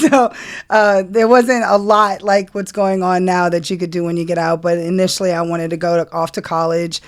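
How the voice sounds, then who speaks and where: A woman's voice: fairly low pitch, moderate pace. A woman, a guest on a casual podcast, telling her story at an easy pace. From New Orleans, USA. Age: 40s. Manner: relaxed storytelling with laughs. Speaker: guest, music manager.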